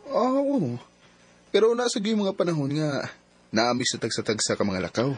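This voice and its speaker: A man's voice: on the high side, wildly swooping pitch, moderate pace, husky, exaggerated voice. A man with a Cebuano accent acting a trusting, questioning young boyfriend who can turn suspicious, in a radio drama. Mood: casual. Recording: faint background noise.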